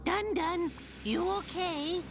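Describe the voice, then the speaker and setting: A woman's voice: very high voice, slow, gravelly, heavily acted. A woman voicing a small, cute, childlike male creature in a video game, talking in babyish broken speech. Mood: concerned. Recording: faint game music behind.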